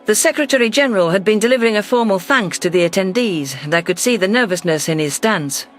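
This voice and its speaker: A man's voice: high-pitched, lively intonation, quick pace, a bit bright, faint music behind. A man reading a scripted first-person science fiction story as its narrator.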